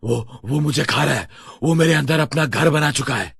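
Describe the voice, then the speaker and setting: A man's voice: mid-range voice, moderate pace, creaky, fairly bright tone, husky, dry recording, studio quality, full character voice. A man playing a terrified young husband being consumed from within, faltering and desperate, in a horror audio story. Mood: desperate fear.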